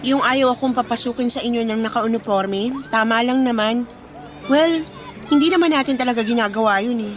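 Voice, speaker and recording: A woman acting a sensible, caring young fast-food worker and girlfriend, gentle and reasoning in radio drama dialogue. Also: fairly high pitch, lightly acted. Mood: matter-of-fact.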